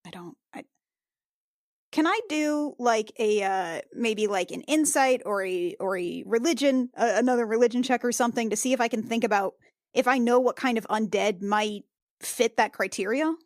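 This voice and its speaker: A woman playing a tabletop fantasy game, improvising in character in a halting way and often repeating herself. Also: fairly high pitch, no echo, studio quality.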